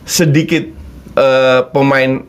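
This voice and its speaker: A man's voice: mid-range voice, little pitch movement, slow, clear, resonant tone. A man, a football coach, explaining his view in a casual talk show discussion, confident with occasional stumbles. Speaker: football coach giving commentary.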